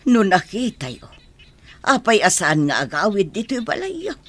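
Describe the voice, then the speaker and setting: A woman's voice: mid-range voice, huge pitch swings, a little slow. A woman with an Ilocano Filipino accent playing a caring, worried older mother and grandmother in a radio drama, coaxing and soothing.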